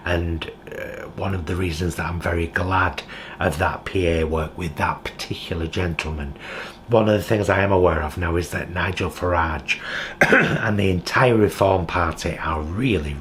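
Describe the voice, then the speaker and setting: A man's voice: low-pitched, normal speed, a bit bright, slight background noise. A British man talking in a heartfelt, reflective vlog monologue from his home kitchen. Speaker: vlogger.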